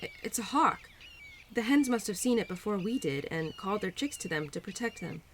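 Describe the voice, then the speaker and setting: A woman voicing a cheerful, playful young spirit of Spring in an audio roleplay, gentle and affectionate with a giggly, easily flustered manner. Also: medium pitch, expressive, fairly quick, slight background noise, lightly acted. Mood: observant, gentle. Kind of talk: audio roleplay addressed to the listener.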